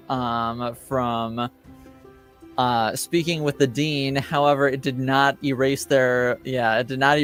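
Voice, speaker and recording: A man casually recapping a tabletop roleplaying session as game master, haltingly, searching for his words. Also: mid-range voice.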